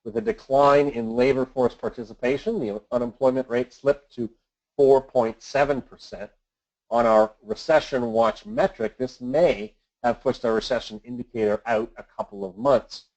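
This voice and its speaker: A man reads scripted market commentary as an investment adviser on a webinar, in a measured, formal, businesslike manner. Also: mid-range voice, steady tone, darkish tone. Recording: dull lo-fi conference call audio.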